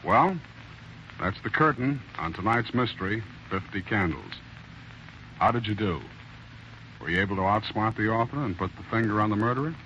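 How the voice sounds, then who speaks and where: Genial old-time radio host, bright tone. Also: male voice, on the low side, animated, normal speed. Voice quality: bright, genial, showman-like delivery. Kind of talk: scripted radio hosting. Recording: muffled old radio recording with faint hiss.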